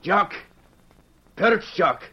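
An actor playing a kindly old Scottish falconer with a thick accent, in 1940s radio acting style. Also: male voice, medium pitch, very animated, a little slow. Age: older. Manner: hearty, burring, fatherly. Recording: dull old radio recording with faint hiss.

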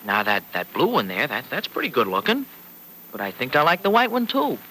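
An actor playing a fretful, protective, sardonic uncle in a folksy, hesitant way, in old-time radio serial style. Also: male voice, medium pitch, wildly swooping pitch, a little fast, sharp tone. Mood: folksy, bemused. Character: Uncle Freddy, gruff-hearted fretful family man, protective and sardonic. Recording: noisy vintage radio recording.

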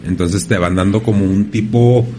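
A man's voice: lowish voice, normal speed, faint music behind. Laid-back man chatting. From Ciudad Juárez, Mexico. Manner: relaxed, slangy, many restarts. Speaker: podcast host. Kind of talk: casual interview chat.

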